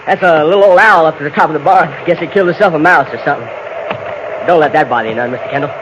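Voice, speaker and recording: Radio Western: male actor as easygoing rural Western stable lad, high voice, offhand delivery, very noisy vintage recording. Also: very fast, dull audio, close to an everyday voice. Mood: offhand, reassuring. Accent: American rural Western. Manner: folksy, offhand. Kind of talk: old radio Western acting.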